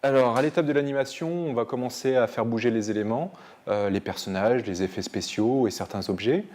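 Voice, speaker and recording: A man's voice: mid-range voice, a little fast, darkish tone. A man in an interview explaining the animation stage, pointing out examples as he goes.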